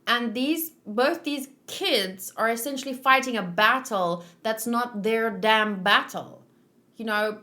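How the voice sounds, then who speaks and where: A woman's voice: on the high side, pushed and bright. A woman giving casual, informal commentary as a read-along host, thinking aloud and searching for her words as she goes.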